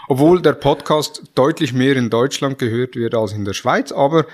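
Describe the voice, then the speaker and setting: A man's voice: medium pitch, moderate pace. Man, Swiss German accent, podcast host, friendly, mid-range voice. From Switzerland. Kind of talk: casual podcast interview.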